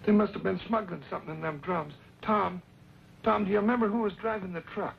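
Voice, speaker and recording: A folksy Western sidekick with a notably high voice. He is excitable and loyal, quick to exclaim in cowboy slang. The recording is dull, with a faint layer of old radio noise. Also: gravelly. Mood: urgent. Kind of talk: mid-century Western drama acting.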